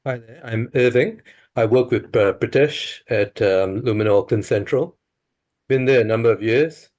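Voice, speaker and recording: A man's voice: medium pitch, moderate pace, darkish tone, highs cut off. A man introducing himself casually to fellow course participants as a dentist.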